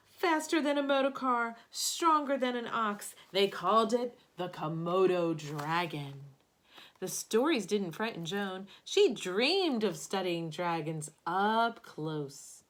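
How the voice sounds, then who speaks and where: A woman reading a picture book aloud like a teacher at bedtime, with expressive emphasis on key words. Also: mid-range voice, slow pace.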